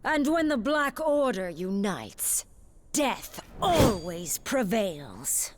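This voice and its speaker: A woman's voice: mid-range voice, big pitch swings, very slow, noisy background. A woman playing a cold, haughty alien villain in a video game, speaking in an imperious, theatrical way. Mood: imperious, threatening.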